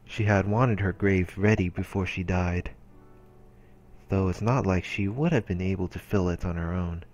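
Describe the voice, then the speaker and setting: A man's voice: low-pitched, moderate pace, a little mellow. A man reading visual novel text aloud as a let's play narrator, in a dramatic storytelling style. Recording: background music behind the voice.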